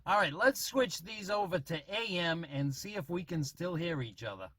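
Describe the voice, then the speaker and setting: A man's voice: fairly high pitch, expressive, moderate pace, a bit bright, no echo. A man giving a casual radio-testing demonstration as a hobbyist, talking in check-ins. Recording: noisy CB radio transmission at times.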